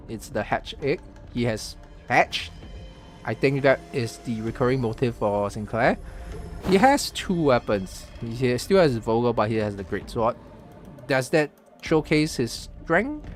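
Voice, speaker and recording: A man from Singapore giving casual live-stream commentary on a game's story, thinking aloud with lots of fillers and restarts. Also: medium pitch. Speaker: streamer reacting to a game story.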